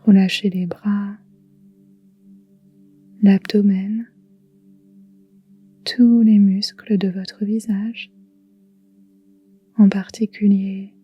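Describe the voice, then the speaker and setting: A woman guiding a relaxation meditation in a soft, whispery, calm and soothing voice, leaving long pauses. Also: medium pitch, highs cut off. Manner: soft, breathy, whispery delivery. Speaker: yoga nidra guide.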